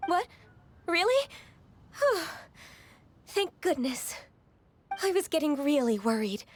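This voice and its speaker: Very high, timid young woman. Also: very animated, takes it slowly, exaggerated voice. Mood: relieved. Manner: hesitant, apologetic, polite. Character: gentle, timid, anxious, deferential young woman. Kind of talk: video game character acting. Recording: light music behind.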